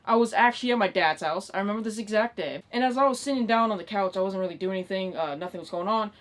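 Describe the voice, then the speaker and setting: A woman's voice: medium pitch, fast. A nineteen-year-old woman ranting in a casual, unscripted vlog style, exasperated and emphatic, with plenty of swearing. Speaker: online video creator.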